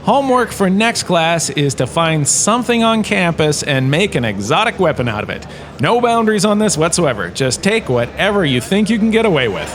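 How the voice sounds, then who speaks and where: A man's voice: high-pitched, big pitch swings, normal speed, noisy background. A man playing a smug washed-up star who lectures as a history professor, conversational and wry, in a comedic audio drama. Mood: wry, breezy. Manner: conversational, self-satisfied, wry asides.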